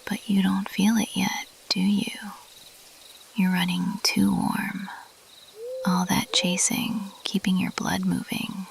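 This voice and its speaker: A woman voicing a mischievous, playfully elusive bat monster girl in a teasing, intimate, breathy whisper for an ASMR roleplay. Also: mid-range voice, full character voice. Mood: playful, coy. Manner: slow, teasing, intimate, lingering on pauses. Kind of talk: ASMR roleplay, addressed to the listener.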